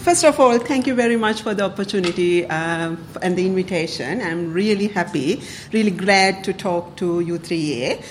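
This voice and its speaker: A woman's voice: fairly low pitch, normal speed, hardly any pauses, soft background music. A woman from Sri Lanka giving a public talk to a club, speaking hesitantly with many fillers and the odd laugh. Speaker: community council speaker.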